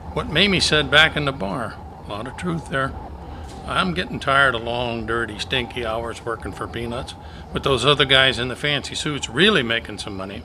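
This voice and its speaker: A man's voice: mid-range voice, background noise, natural voice. A man playing a harried, pushy cattle-drive cowboy with folksy Old West speech in an amateur radio play. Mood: weary, reflective.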